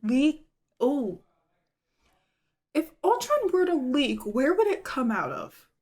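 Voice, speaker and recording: A woman's voice: high voice, sing-song, slow pace, darkish tone. A woman giving casual, joking commentary as she reacts to a video. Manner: playful, joking.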